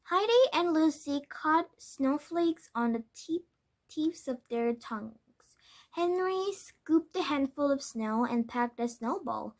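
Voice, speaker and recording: A woman reading a children's storybook aloud as narration, with halting delivery and corrected stumbles. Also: high-pitched, lively intonation. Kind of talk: scripted children's book narration.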